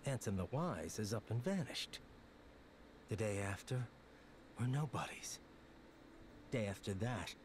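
Stubborn, brooding, expressive young rebel. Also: male voice, medium pitch, a little hiss or hum. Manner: curt, wry, casual. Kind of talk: dramatic video game voice acting.

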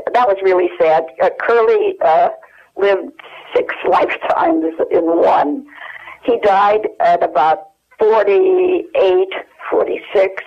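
An older woman with an American accent, reminiscing casually as an interview guest and searching her memory hesitantly as she talks over the telephone. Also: lowish voice. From United States. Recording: dull lo-fi telephone hook-up.

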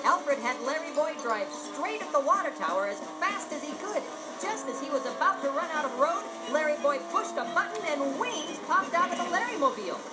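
A woman reading a scripted children's story as narrator, expressive and dramatic. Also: sits high, moderate pace, low-quality recording. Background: music.

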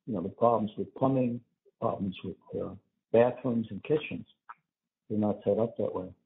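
A man's voice: lowish voice, moderate pace, slight background noise. Older man on a narrow phone line. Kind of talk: casual family phone chat.

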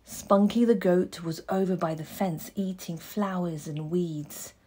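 A woman reads a children's picture book aloud at a leisurely pace. Her voice is mellow and a little husky, at a middle pitch. She tells it expressively, with measured pauses. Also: steady tone. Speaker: audiobook narrator.

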